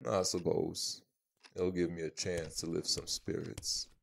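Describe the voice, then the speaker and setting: An actor playing an imposing, protective vampire man in an audio roleplay, dry-witted, haughty and measured, with a gravelly voice. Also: sits low, level tone, heavy background noise, full character voice.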